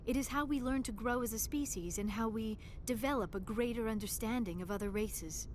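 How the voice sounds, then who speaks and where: A woman's voice: on the high side, fairly quick, slight background noise, natural voice. An actress voicing a young alien woman scientist in a video game, shy and earnest, with formal, precise diction. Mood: calm explaining. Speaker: archaeologist crew member.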